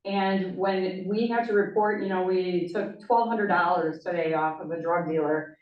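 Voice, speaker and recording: Woman, law enforcement official at public meeting, brisk and direct; dull, far-off room recording. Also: fairly low pitch, steady tone. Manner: brisk, direct, checks in with okay. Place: large town meeting hall. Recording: echoey meeting hall, far mic, dull.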